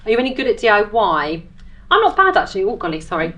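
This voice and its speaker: A woman's voice: medium pitch, sing-song, fast, bright, forceful tone, muffled recording. A woman chatting casually as a guest on a live panel. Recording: live event room, background noise.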